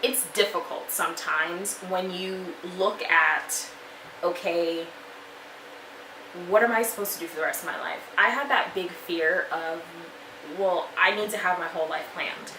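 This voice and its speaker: A woman's voice: fairly low pitch, unhurried. A woman in her twenties giving encouraging advice in a chatty vlog style, with plenty of fillers. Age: 20s. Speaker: vlogger and life coach. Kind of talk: motivational talk to camera. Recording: echoey room with noise.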